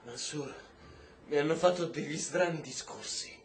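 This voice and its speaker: A man's voice: fairly high pitch, normal speed, natural voice. A man acting in an Italian radio drama as a young, defiant, wounded prisoner, moving between muttering and outbursts. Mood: shaken. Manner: emotional, swinging from muttering to outbursts. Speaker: actor. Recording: roomy, echoing, noisy background.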